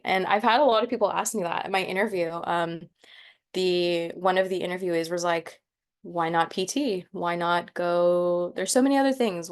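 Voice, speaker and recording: Upbeat young woman presenting on a video call. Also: fairly low pitch. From Mexico. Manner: friendly, encouraging, casual with fillers. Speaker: PA student speaker. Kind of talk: online workshop presentation.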